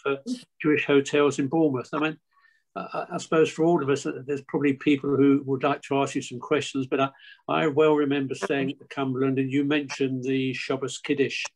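A man's voice: medium pitch, level tone, a little fast, low-quality recording. An older man hosting an online talk, thanking the speaker and calling on questions, restarting words as he goes. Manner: warm, stammers on restarts. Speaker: host. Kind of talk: hosting a video call. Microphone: video call.

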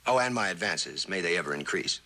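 An actor playing a cocky, wisecracking novelist and charmer in a jaunty, teasing, theatrical style of old television drama. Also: male voice, low voice, expressive, a little fast, gravelly, sharp tone, heavily acted. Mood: wry, flirtatious. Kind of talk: 1960s television drama acting. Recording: old TV soundtrack, faint hiss.

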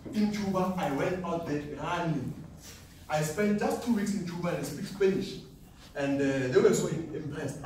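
A young man from South Africa pitches a trading class to seated students, chuckling as he brags. His voice sits a little high. The room rings with echo and the camera is far from him, with faint noise underneath. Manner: animated, boastful, laughs often. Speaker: forex trading speaker. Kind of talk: live seminar talk to students. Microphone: far camera, no mic.

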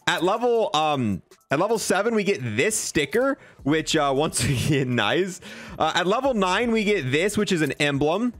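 A man hosting a game review video with excited commentary, talking in an enthusiastic, slangy bro style. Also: a little high, normal speed, soft background music.

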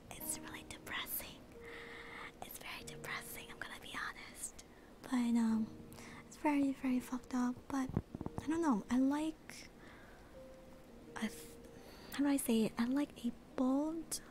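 Young woman streamer, slight accent, unhurried breathy ASMR whisper, hissy close-mic audio. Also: sits high. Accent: slight non-American accent. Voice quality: soft airy whisper. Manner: relaxed, rambling, reads chat aloud. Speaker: VTuber streamer.

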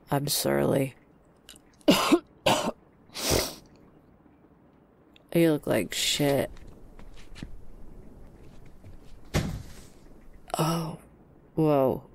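Surly female bookstore keeper played by a woman, deadpan, creaky voice, slow and weary. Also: deep voice, full character voice. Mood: dry, taken aback. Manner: deadpan, grumpy. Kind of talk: audio roleplay drama acting.

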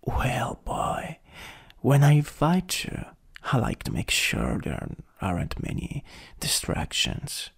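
A jealous, possessive supervillain from an ASMR roleplay , acted by a man, speaks with an Italian accent in a slow, teasing drawl. His creaky voice swings widely in pitch as he taunts, and it slips at times into a whisper. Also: wildly swooping pitch, heavily acted. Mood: jealous, needling.

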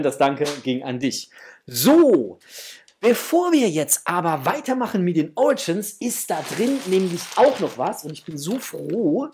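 A man in his thirties with a slightly husky voice, chatting informally to viewers as host of an unboxing video. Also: on the high side, noisy background. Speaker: host of a toy collecting channel. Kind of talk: casual chat, unboxing to camera. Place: small room at home, indoors.

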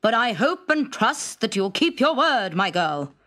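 A woman voicing a stern king and father in a storybook, booming and deliberate. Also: sing-song, pushed and bright, full character voice. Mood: grave admonishing. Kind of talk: storybook character acting.

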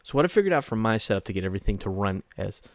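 Male streamer, thirties, slightly low voice, casual and playfully self-deprecating explainer, dry close-mic recording. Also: very animated, very fast, no room sound. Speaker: streamer and video host. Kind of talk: casual tech tip to camera. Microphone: desk microphone up close.

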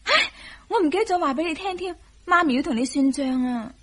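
An actress with a Hong Kong Cantonese accent playing a sweet, coy young newlywed wife in a radio drama. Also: female voice, very high voice, expressive, fairly quick, a bit bright. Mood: coy, teasing.

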